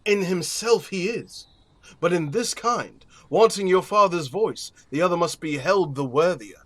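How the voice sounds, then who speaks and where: An actor playing a stately duke passing judgment, speaking Shakespearean verse in a formal, measured, authoritative manner. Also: male voice, high voice, lively intonation, a bit bright, exaggerated voice. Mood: grave, advising. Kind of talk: Shakespearean verse audio drama acting.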